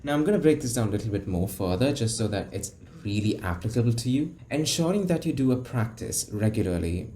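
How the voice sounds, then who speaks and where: A young man teaching public speaking in a video lesson, explaining and demonstrating how to vary his tone. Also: lowish voice. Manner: demonstrates tones, shifts loudness for emphasis. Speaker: speaking coach. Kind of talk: instructional video talk.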